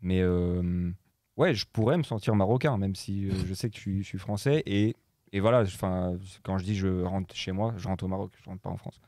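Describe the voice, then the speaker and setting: A man's voice: sits low, moderate pace, warm tone, no echo, studio quality. A young man in his twenties, a guest DJ and producer who grew up in Morocco, chatting casually with many hesitations and fillers. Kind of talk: casual chat.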